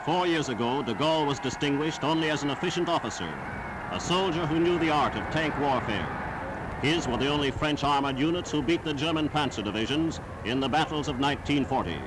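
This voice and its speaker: A man's voice: on the high side, lively intonation, brisk. A man narrating a 1940s wartime newsreel in a formal, grandiose, declamatory style. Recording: noisy old 1940s newsreel soundtrack.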